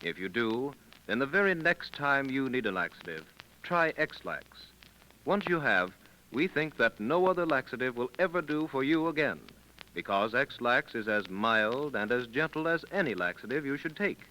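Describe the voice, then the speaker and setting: A man's voice: medium pitch, animated, moderate pace, sharp tone, slight background noise, low-quality recording. A man announcing in a persuasive, emphatic 1930s radio commercial style, speaking straight to the listener. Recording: old 1930s radio broadcast recording.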